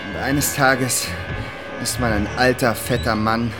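An actor playing a grandiose history narrator in a radio play, delivering scripted narration with dramatic relish. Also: male voice, medium pitch, fairly even intonation, slow, natural voice. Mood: dramatic, wry. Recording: loud music under the voice. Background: music.

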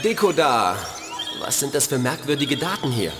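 A man playing a brisk, heroic leader of antivirus programs in a children's audio drama, commanding and clearly projected. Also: medium pitch, big pitch swings, close to an everyday voice. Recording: long reverberant echo, music underneath.

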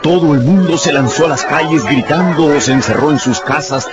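A man's voice: fairly high pitch, brisk. A man narrating a radio drama in a dramatic, scripted storytelling style. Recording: slightly dull sound, music behind.

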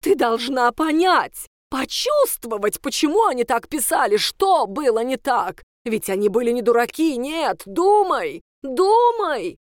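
A woman voicing a fiery, demanding female schoolteacher who cross-examines a pupil in a shrill, hectoring way. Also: lively intonation, very slow, gravelly, a bit bright, no echo, studio quality, full character voice. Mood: urgent.

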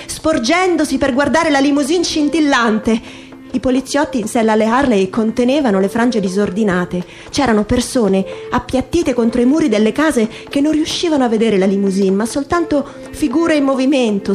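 A woman giving a dramatic literary reading in measured, emphatic phrases, in the style of radio theatre. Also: high voice, a little mellow. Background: music.

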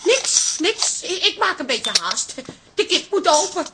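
A woman's voice: very high voice, sing-song, a little slow, noisy background, full character voice. An actress playing a kindly, easily flustered café woman in a Dutch radio drama, hesitant and placating.

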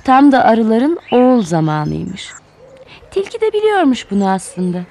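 A woman telling a children's fairy tale in expressive, scripted narration. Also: medium pitch, slight background noise. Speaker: children's storyteller.

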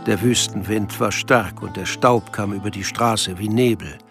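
Low-voiced male narrator over music. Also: moderate pace, gravelly. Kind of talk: scripted literary narration in radio drama.

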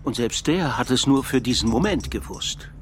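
A man's voice: mid-range voice, expressive, slight background noise, natural voice. Pensive, philosophical man acted in radio crime drama. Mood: reflective. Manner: pensive, articulate, measured arguing. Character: reflective, philosophical man debating crime with an inspector. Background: faint background music.

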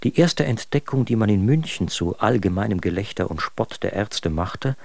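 An exasperated writer reading out his letters in a radio drama. His voice sits fairly low in a very dry studio sound, and he recounts his troubles with articulate, sardonic scorn. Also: animated, moderate pace, creaky, a little mellow, no room sound. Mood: scornful, sardonic.

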